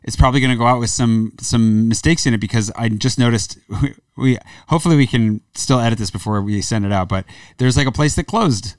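A man's voice: medium pitch, brisk, clear, resonant tone, no room sound, far from the mic. A man hosting a podcast, chatting casually across a table while tasting cheese, rambling with lots of fillers and restarts. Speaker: podcast host. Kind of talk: casual chat.

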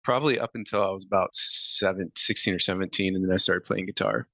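A man in his thirties, a musician guest, answering interview questions in a relaxed, rambling way with many fillers. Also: deep voice, fast talker, vocal fry, no echo, poor audio.

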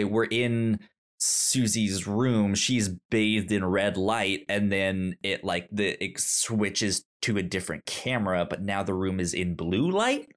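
Brief: laid-back man co-host, unhurried pace, frequent stammers and restarts. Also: sits low. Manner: stammers often, restarts words. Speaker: podcast co-host. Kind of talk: casual movie-review chat.

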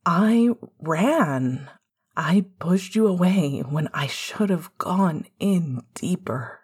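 A woman voicing a confused, emotional, remorseful man in love, halting and disbelieving, in fan fiction audiobook dialogue. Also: lowish voice, lively intonation, darkish tone, breathy edge, heavily acted.

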